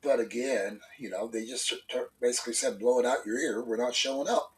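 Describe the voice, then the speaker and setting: A man with a stuffed-up, congested voice co-hosts a casual morning talk show. He rambles through his points with plenty of fillers and restarts. His voice sits at a middle pitch and sounds a little distant from the microphone. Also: a little fast. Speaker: co-host. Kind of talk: casual opinion chat. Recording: voice a bit far from the mic.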